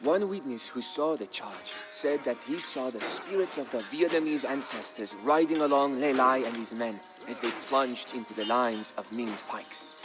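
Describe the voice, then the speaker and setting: A man with a medium-pitched voice narrates a dramatic historical tale. He tells it in a measured, solemn way, like a scripted game storyline. Clear background music runs behind his voice.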